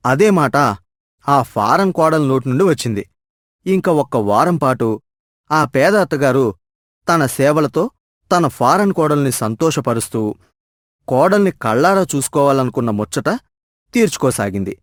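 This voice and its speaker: Higher-pitched man narrating a story. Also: expressive, measured pace, long pauses, dry recording, studio quality. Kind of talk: scripted moral story narration.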